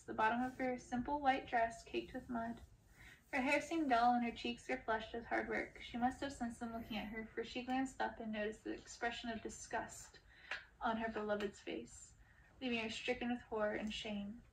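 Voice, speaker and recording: A woman's voice: fairly high pitch, gravelly. A woman reading a storybook aloud in an ASMR style, as its narrator. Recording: distant mic, faint background noise.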